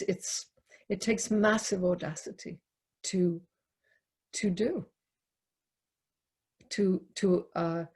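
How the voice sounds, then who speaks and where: A woman leading a poetry discussion on a video call, explaining reflectively in deliberate, halting phrases with pauses. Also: lowish voice, dark tone, slightly rough. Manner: halting, deliberate, pausing between short phrases. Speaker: poetry reader and discussion leader. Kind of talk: reflective spoken commentary, unscripted teaching. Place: online video call talk.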